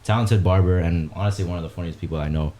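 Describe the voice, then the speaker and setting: A man's voice: low voice, fast, mellow. A man co-hosting a podcast, talking in an affirming casual way with frequent backchannels. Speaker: podcast co-host. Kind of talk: casual chat.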